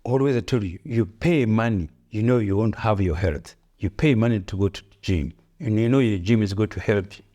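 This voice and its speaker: A man's voice: fairly low pitch, fairly quick, hardly any pauses, mellow. A middle-aged man with a Rwandan accent, co-hosting a podcast and giving earnest, preachy advice in broken English, repeating his key phrases. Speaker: podcast co-host, father. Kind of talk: casual motivational chat.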